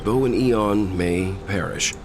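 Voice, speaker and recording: Very deep, grave legend narrator. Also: male voice, over music. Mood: solemn, portentous. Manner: solemn, deliberate, portentous. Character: grave male narrator of ancient cosmic legend. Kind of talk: scripted video game lore narration.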